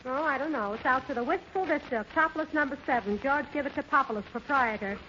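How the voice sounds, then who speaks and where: High-pitched, snappy clerk played by a woman, crackly old radio. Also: brisk, lightly acted. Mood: businesslike. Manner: dry, snappy, businesslike. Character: brisk, wisecracking female employment agency clerk. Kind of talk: 1930s radio comedy acting. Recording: muffled, noisy 1930s radio recording.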